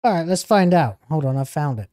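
A man's voice: fairly high pitch, animated, fairly quick, darkish tone, no room sound, studio quality. A man in his thirties streaming from home on a headset mic, reacting to video clips in casual, argumentative commentary. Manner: argumentative, talks back at the clips.

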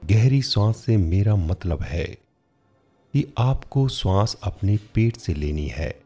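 A man narrating a scripted guided meditation in a measured, instructional manner with frequent pauses. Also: fairly low pitch, expressive, dark tone, slightly rough.

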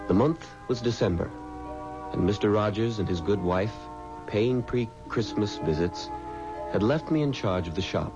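An actor playing an earnest, courteous undertaker's assistant in a 1970s radio drama, emphatic and a little halting. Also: male voice, fairly low pitch, natural voice. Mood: solemn, measured. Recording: dull, noisy old radio recording.

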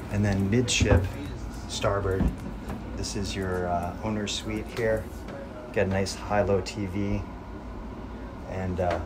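A man giving a casual walkthrough tour of a catamaran, matter-of-fact with frequent pauses, recorded on a handheld camera at a boat show. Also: fairly low pitch, background noise. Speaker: yacht broker giving a tour. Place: aboard a catamaran at a boat show, inside cabins and on deck.

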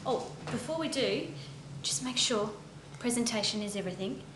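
A woman's voice: fairly high pitch, lively intonation, moderate pace, noisy background. A woman in her thirties hosting a mock cooking show in a home kitchen, talking in a chirpy, overly careful demonstrating tone. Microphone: camera mic from across the room. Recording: echoey kitchen, voice from a distance.

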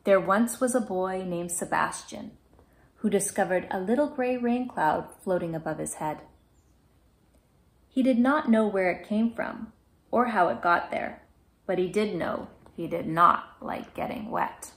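A woman in her thirties reading a children's picture book aloud in a gentle, measured, clearly phrased way. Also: medium pitch. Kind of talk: children's storybook read-aloud. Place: small indoor room at home.

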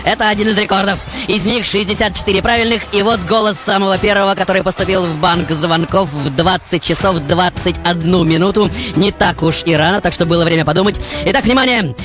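A man hosting a live radio quiz show in an excited, showman-like manner. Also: high-pitched, a little fast, music in the background. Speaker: radio host.

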